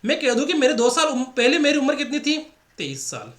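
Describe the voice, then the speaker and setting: A man's voice: extremely high pitch, big pitch swings, fairly quick, fairly bright tone. A male math teacher with a Rajasthani Hindi accent, running an exam-prep lesson and repeating each step with rhetorical questions to the class. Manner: repetitive, drills points with rhetorical questions to the class. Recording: echoey room.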